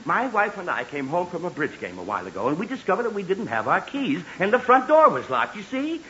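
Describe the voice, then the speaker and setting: High-pitched, flustered 1940s radio dad. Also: male voice, wildly swooping pitch, brisk, bright, forceful tone, full character voice. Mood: exasperated. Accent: American. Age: middle-aged. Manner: flustered, stammering, placating. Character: flustered, exasperated suburban father pleading for order. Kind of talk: 1940s radio comedy acting. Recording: muffled, noisy, echoey 1940s radio broadcast.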